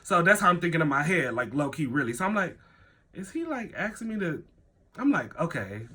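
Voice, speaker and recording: A young man with a stuffy nose, telling a personal story in a casual vlog, animated, full of slang and "like", swearing freely. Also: fairly quick, husky. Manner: animated, acts out his own thoughts in a higher voice.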